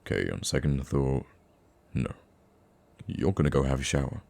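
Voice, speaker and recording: A man playing a gruff, teasing supervillain with a secret soft side in an intimate ASMR roleplay, dry and grumbling but affectionate. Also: animated, moderate pace, heavily acted. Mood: bossy, teasing. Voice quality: very deep, gravelly.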